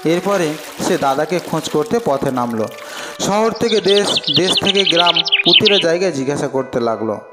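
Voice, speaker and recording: A male storyteller reads a scripted fairy tale in a dramatic way. His voice is rather high for a man and moves widely in pitch, with clear music behind it. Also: expressive, moderate pace.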